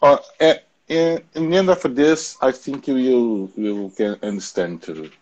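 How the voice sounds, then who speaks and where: A man in his forties with a Brazilian accent, a native Portuguese speaker, hosting a casual online interview in a halting way with repetitions. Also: mid-range voice, a little mellow, lo-fi sound. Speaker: interview host. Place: home balcony.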